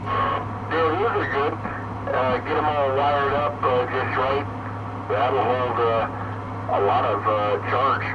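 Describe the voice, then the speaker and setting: A man chatting casually over CB radio, plain-spoken and a little halting. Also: mid-range voice. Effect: CB radio transmission. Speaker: CB radio operator. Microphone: heard through a radio receiver. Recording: dull, distant, echoey CB radio, loud noise.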